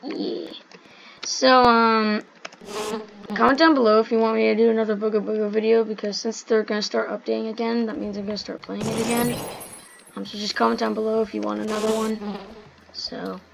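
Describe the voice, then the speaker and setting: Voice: mid-range voice, level tone, fairly quick, gravelly, a little hiss or hum, low-quality recording. An eleven-year-old child giving casual, rambling gameplay commentary in a slightly strained, slangy voice. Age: child, almost twelve. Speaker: gaming video host. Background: background music.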